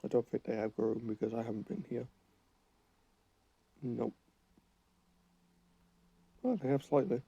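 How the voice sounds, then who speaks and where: A man's voice: mid-range voice, fairly even intonation, a little slow, creaky, pauses often, mellow, breathy edge, dry recording, muffled recording. A man with a cold and a fading voice, giving rambling gameplay commentary that keeps correcting itself. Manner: rambling, self-correcting, thinks aloud while playing. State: has a cold, losing his voice. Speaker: gaming channel host.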